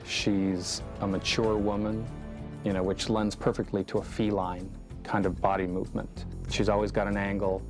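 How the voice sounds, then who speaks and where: A man's voice: on the low side, level tone, normal speed. A man speaking as a film director in an interview, explaining thoughtfully and stressing points by repeating words. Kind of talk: documentary interview, reflective explanation. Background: music.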